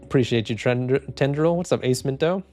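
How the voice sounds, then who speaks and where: A man streaming casually, reading chat comments in a low, even, unhurried voice with lots of fillers and restarts. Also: soft background music. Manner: laid-back, rambling, many fillers and restarts.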